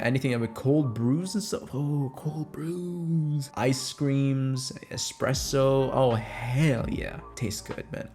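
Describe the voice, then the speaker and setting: A man's voice: medium pitch, a little mellow. A young adult man chats casually and informally as an audio creator answering fan questions, close on the microphone. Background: music.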